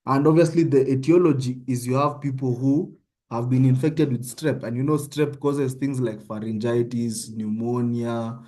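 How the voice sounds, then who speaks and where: Young Kenyan male tutor, Kenyan accent, mellow voice, casual teaching that keeps checking in with listeners. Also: medium pitch, fairly even intonation, moderate pace. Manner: conversational, often checks in with "yeah?". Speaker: medical student tutor. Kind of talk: informal online tutorial.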